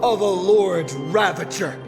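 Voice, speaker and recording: A man's voice: sits high, slow, long reverb tail, music in the background. A male actor playing a defiant, theatrical young man in a video game, speaking with emphatic declamation. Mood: indignant.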